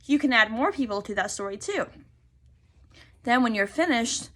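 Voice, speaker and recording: Woman teacher, read-aloud for little kids: bright, higher-pitched, unhurried, carefully enunciated. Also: expressive. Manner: deliberate enunciation, addressing small children with prompts and questions. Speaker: teacher reading to young children. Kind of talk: expressive children's picture book read-aloud.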